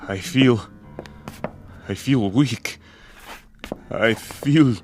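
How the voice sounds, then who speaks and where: An actor playing a weary, defiant major, a hunted defector in a radio drama, with a terse, wary, sardonic manner. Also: male voice, medium pitch, extremely slow, over music. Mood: weakened, shaken.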